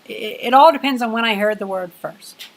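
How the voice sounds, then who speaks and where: A woman's voice: a little high, moderate pace. Rambling woman in her twenties, livestreaming. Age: 20s. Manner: rambling, chatty, many fillers. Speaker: livestream host. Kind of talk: casual livestream chat. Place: home workshop.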